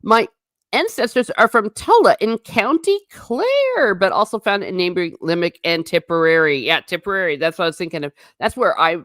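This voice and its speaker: A woman's voice: lowish voice, very animated, fairly quick, a bit bright, dry recording, studio quality. A woman hosting a casual livestream, reading out chat comments and answering them in a chatty, wry and tangential way, often restarting her sentences. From United States.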